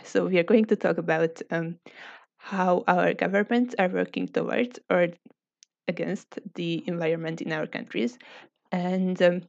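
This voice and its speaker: A woman's voice: lowish voice, creaky, dry recording, muffled recording. A woman from Hungary hosting a casual online group discussion, speaking hesitantly with many filler sounds. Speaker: discussion host.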